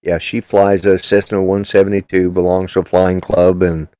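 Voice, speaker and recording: Older male host, blunt and rambling, low voice, dry close sound. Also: level tone, moderate pace, dark tone, clear, resonant tone, no echo. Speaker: podcast host. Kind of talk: casual political chat.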